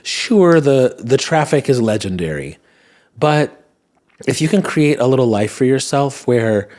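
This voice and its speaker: A man hosting a podcast in casual solo chat, rambling and wry, his voice tired and slightly hoarse. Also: mid-range voice, animated, unhurried, a little mellow. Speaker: podcast host. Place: at home, indoors.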